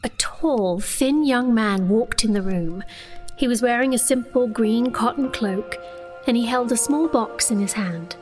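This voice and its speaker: A woman's voice: fairly high pitch, a little slow, darkish tone, faint music behind, close to an everyday voice. An actress playing a sheltered, proud young sorceress who tells her own story in an earnest, intimate way in a fantasy audio drama. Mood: reflective. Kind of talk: first-person fantasy audio drama narration and dialogue.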